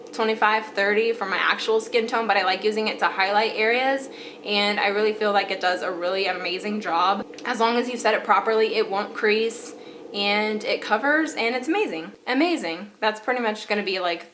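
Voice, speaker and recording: A woman's voice: medium pitch, a bit bright. A young woman with a stuffy, congested voice chatting casually through her favorite makeup products, with lots of fillers and repeated praise. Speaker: beauty vlogger.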